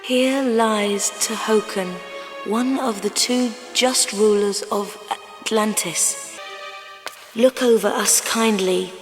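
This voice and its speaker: An actress playing a reverent woman adventurer in a video game, reading aloud in a measured, solemn way. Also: mid-range voice, steady tone, big-room sound, faint music behind, lightly acted. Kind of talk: video game cutscene acting.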